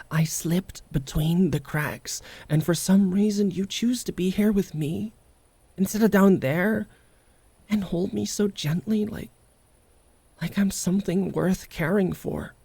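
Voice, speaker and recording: A very breathy, husky young wolfgirl played by a woman in an intimate ASMR roleplay. She speaks slowly and haltingly, prickly on the surface and tender underneath, close and dry on the mic. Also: fairly low pitch, dry recording, full character voice. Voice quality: breathy, often dropping into whispers. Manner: halting, guarded, softly emotional.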